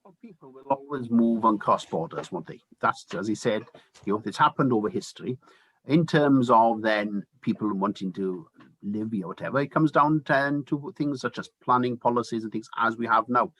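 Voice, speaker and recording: A man from Wales, a politician, answering interview questions hesitantly with many pauses and fillers. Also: mid-range voice, a bit bright, slightly rough, no room sound, low-quality recording. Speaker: politician guest.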